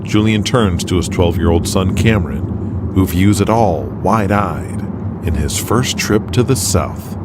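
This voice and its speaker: A man's voice: low-pitched, unhurried, very noisy. A man reading dramatic scripted narration as the narrator of an audio drama.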